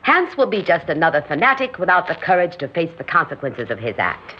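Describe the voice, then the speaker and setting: An actress in an old-time radio drama playing an imperious, grandiose, scheming society hostess with a commanding, theatrical delivery. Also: female voice, on the low side, sing-song, brisk, slight background noise. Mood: cold, calculating. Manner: theatrical, commanding, self-assured. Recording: dull, muffled old radio recording.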